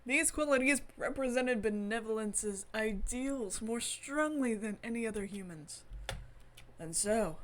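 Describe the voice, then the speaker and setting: Slow-paced, expressive woman game streamer. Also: mid-range voice, slight background noise. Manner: giggly, enthusiastic, expressive. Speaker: gaming channel host streaming a visual novel. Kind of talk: reading game text aloud dramatically with excited asides.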